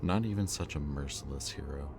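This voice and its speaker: A man acting a brooding villain kidnapper in an audio roleplay, mockingly polite and weary, speaking in a deliberate, theatrical way. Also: extremely low pitch, over music. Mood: grim, haunted.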